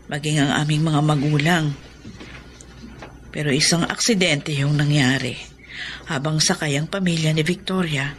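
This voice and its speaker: A woman's voice: low voice, a little hiss or hum, close to an everyday voice. A woman playing an anxious, sorrowful mother and wife in a Filipino radio drama, with a fretful, grave delivery.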